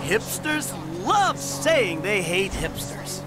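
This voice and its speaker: A middle-aged American career criminal in a video game, with a notably high voice. He is dry and sardonic, forever needling and arguing, over prominent music. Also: sing-song, takes it slowly, full character voice. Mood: mocking.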